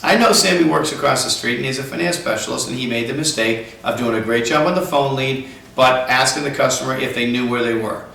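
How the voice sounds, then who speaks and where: A man's voice: medium pitch, fairly quick, gravelly, sharp tone. A man working as a car sales trainer gives an instructional talk, explaining emphatically step by step. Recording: echoey room, distant mic, faint noise.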